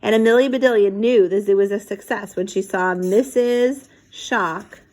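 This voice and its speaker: A woman's voice: medium pitch, normal speed. Woman reading a children's story aloud. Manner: animated, acts out dialogue and sound effects. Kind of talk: expressive read-aloud with casual asides to young listeners.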